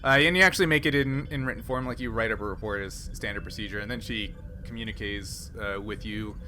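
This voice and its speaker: A man's voice: lowish voice, quick pace, noisy background. A man narrating as game master of a tabletop roleplaying game, explaining in a conversational way. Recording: music playing behind the voice. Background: music.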